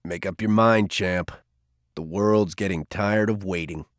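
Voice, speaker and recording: A man voicing a gruff older male mentor who speaks low, quiet and firm, with a teasing edge. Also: steady tone, a little slow, dry recording, clean studio sound. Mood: stern.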